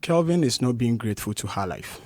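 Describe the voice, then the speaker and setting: A man's voice: mid-range voice, sing-song, brisk, a little mellow, no room sound. A man with a Ghanaian English accent, a musician guest in a radio studio interview, speaking passionately and emphatically and repeating his points.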